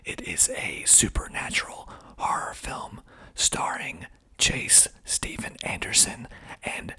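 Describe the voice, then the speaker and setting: A man from the southern United States whispering in a breathy, deliberate, conversational way as an ASMR channel host. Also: pushed and bright. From southern United States (Georgia). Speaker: filmmaker hosting his own channel.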